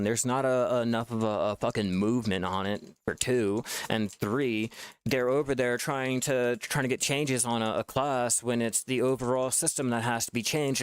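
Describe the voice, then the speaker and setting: A man's voice: medium pitch, clear, resonant tone, dry recording. Profane, frustrated man ranting. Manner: frustrated, profane, repetitive restarts. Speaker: gaming video creator. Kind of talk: ranting gameplay commentary.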